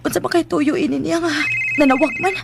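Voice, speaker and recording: A woman's voice: sits high, steady tone, brisk, creaky, very noisy. A woman with a Cebuano accent playing an emotional, protective young daughter in a radio drama, plaintive and insistent. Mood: worried. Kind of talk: Philippine radio drama acting.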